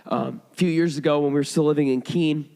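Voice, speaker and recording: A hoarse man preaching a sermon, telling stories in a conversational way with frequent restarts. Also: a little high, fairly even intonation, fast talker, mellow. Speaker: pastor. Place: church sanctuary. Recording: long hall reverb.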